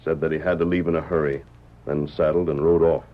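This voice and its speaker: An actor playing a calm, commanding western hero who tells a tale in measured, deliberate radio-drama style. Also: male voice, extremely low pitch. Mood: grave, steady. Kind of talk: old-time radio drama acting. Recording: dull vintage radio recording.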